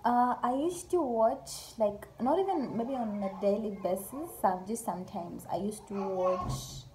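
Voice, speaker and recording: A woman's voice: mid-range voice, measured pace, darkish tone, slight background noise, poor audio. A young woman from Zambia, chatting casually to her phone camera in a small room, rambling with lots of fillers. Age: young adult. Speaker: YouTuber. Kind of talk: casual get-to-know-me vlog. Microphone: phone camera at arm's length.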